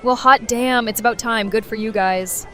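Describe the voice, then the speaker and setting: A woman's voice: on the high side, steady tone, normal speed, pushed and bright, soft background music, a faint crowd. Actress as brash, wisecracking young woman. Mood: casual banter. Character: brash, wisecracking, affectionate young woman, loyal best friend. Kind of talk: audio drama acting, conversational.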